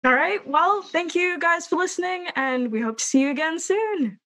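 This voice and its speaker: A young woman hosting a podcast over a video call, bubbly and laughing, with quick stop-start phrasing. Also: extremely high pitch, lively intonation, a bit bright. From Trinidad. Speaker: podcast host. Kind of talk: casual chat.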